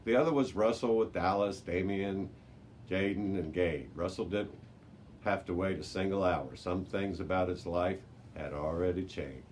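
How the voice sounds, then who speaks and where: A man's voice: low voice, a little mellow. A man reading a children's adventure story aloud as a library narrator, with the odd small stumble. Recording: noticeable background noise.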